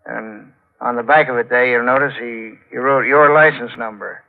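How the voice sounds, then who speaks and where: An actor playing a folksy, unhurried state trooper in a 1940s radio drama style. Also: male voice, medium pitch, fairly even intonation, gravelly, lightly acted. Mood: folksy, reassuring. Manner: folksy, drawn-out questioning. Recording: tinny, narrow-band old radio broadcast.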